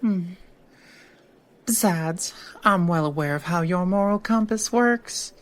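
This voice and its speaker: Actress doing a sly lady lawyer in an audio drama, really slow and teasing, kinda theatrical. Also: lowish voice. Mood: smooth persuasion. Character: sly, silky woman lawyer, old friend, teasing and shrewd.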